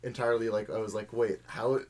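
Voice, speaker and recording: A man's voice: on the low side, flat delivery, brisk, over a noisy crowd. A man in casual conversation, talking in a hesitant, rambling way with lots of filler likes. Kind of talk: casual chat.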